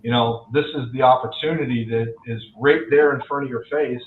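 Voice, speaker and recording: A man's voice: medium pitch, steady tone, brisk. Man, 40s, loan officer guest, candid, reflective, echoey room, tinny call audio. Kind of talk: podcast interview.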